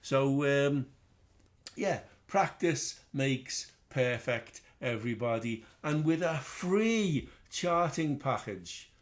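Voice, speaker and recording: A man's voice: mid-range voice, expressive, takes it slowly. A middle-aged man with a Liverpool accent, hosting a casual trading webinar in a chatty, rambling way with self-deprecating asides. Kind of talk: casual live webinar teaching.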